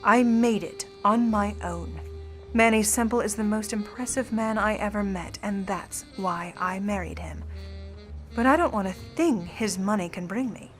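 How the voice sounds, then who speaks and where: A woman's voice: mid-range voice, a little slow, soft background music, lightly acted. A woman as a cool, haughty scheming starlet. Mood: bitter, proud. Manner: haughty, controlled, cutting formal delivery. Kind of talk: old-time noir radio play acting.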